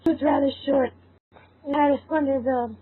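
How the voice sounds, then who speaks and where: A high-pitched woman reads a story aloud as the narrator of an amateur fan fiction. The sound is thin and narrow, like a phone call. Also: fairly even intonation, normal speed, dry recording.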